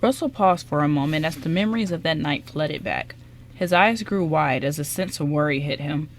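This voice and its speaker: A woman's voice: sits low, normal speed, slight background noise. A woman reading scripted narration for an amateur radio drama as its narrator.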